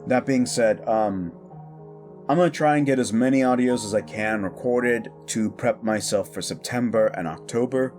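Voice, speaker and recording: A man's voice: mid-range voice, moderate pace, darkish tone. Male host, mid-range voice, informal and meandering, speaking casually to his listeners with music behind. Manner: rambling, informal, self-interrupting. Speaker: audio roleplay creator and host.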